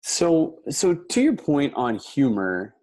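A friendly host runs a casual interview, asking open questions. The phrasing is loose and halting, with frequent restarts. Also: mid-range voice, wildly swooping pitch, noisy background.

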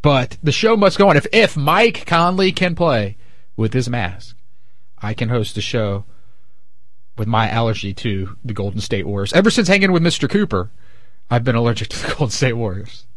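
A man's voice: medium pitch, moderate pace, no room sound, studio quality. A man hosting a sports radio show, chatting casually and joking, with a stuffed-up nose. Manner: animated, jokey. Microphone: studio microphone.

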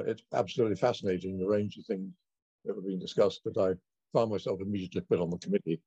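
An older retired philosophy lecturer introducing himself in a measured, reflective way, on a slightly muffled remote recording. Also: male voice, on the low side, dark tone, slightly rough, no echo. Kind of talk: roundtable introduction.

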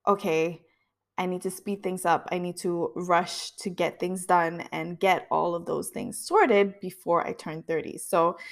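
A woman of about thirty hosting a podcast, speaking in an earnest, introspective monologue. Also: medium pitch. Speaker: podcast host. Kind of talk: reflective personal monologue.

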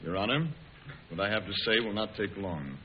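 An actor playing an earnest, dignified statesman giving formal, measured testimony in an old-time radio drama. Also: fairly low pitch, expressive, close to an everyday voice. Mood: earnest, solemn. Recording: hissy, muffled old radio broadcast recording.

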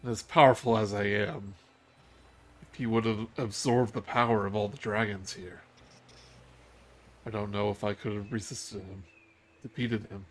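A man voicing a powerful female dragon leader who speaks in a measured, gracious way. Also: fairly low pitch, fairly even intonation, a little hiss or hum, natural voice. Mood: solemn.

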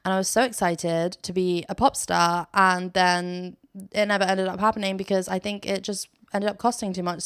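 A woman's voice: medium pitch, clean tone, no echo, clean studio sound. A young woman hosting a casual solo podcast from her sofa, chatty and self-mocking, laughing often as she talks. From Philippines. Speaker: podcast host. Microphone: phone.

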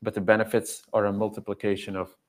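A man's voice: on the low side, flat delivery, quick pace. A man speaking as a dog coach, explaining at length and emphatically in casual conversation. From Belgium. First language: not English. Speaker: dog trainer and coach, podcast guest.

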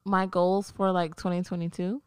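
A woman guest in a casual podcast conversation. She speaks in a relaxed, reflective and frank way, and her smooth, resonant voice sounds dry and close. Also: fairly low pitch, moderate pace, no room sound. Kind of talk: casual chat.

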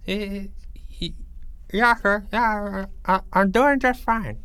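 A man voicing a frantic, stammering schoolboy with a high, squeaky voice. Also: fairly even intonation, slow pace, pushed and bright, smooth, dry recording, exaggerated voice. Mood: groggy. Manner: frantic, repeats words, later groggy and slurred. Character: anxious schoolboy Jeffrey, panicky and babbling.

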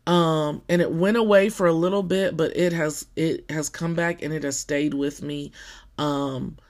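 A podcaster with a scratchy, somewhat deep voice, talking in a casual personal monologue full of fillers and restarts. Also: fairly even intonation. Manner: halting, with many fillers and restarts.

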